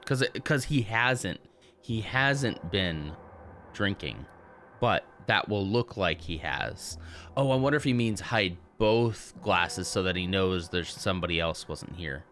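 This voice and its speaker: Deep-voiced, joking male streamer. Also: expressive, unhurried, fairly bright tone, a little hiss or hum. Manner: joking, chatty, laughs often. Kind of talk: casual let's play commentary.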